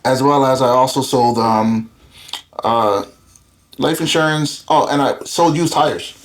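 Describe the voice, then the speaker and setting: A man's voice: mid-range voice, a little slow. A man in his thirties who grew up in Florida, a guest telling his story in candid, rambling casual talk with many fillers. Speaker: guest entrepreneur. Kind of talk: casual interview chat.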